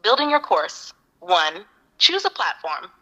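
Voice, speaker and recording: Synthetic woman, AI assistant voice; bright tone, upbeat, evenly paced lists. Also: fairly low pitch, very animated, takes it slowly, low-quality recording. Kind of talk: scripted advice reading.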